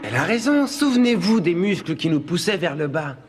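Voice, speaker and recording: Know-it-all cartoon schoolboy: high-pitched, smug, explanatory, faint music underneath. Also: male voice, sing-song, a little fast. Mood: cautioning. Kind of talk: animated cartoon dubbing.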